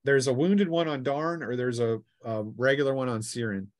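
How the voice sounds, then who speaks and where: A man's voice: mid-range voice, normal speed, dry recording. A man acting as game master, narrating a tabletop role-playing game in a casual, teasing way.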